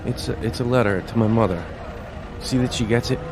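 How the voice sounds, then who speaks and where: Casting: male actor for earnest young American GI, mid-range pitch, deferential and unsure, radio drama. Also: brisk, noisy background, close to an everyday voice. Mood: hesitant, earnest. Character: earnest young American soldier worried for his mother.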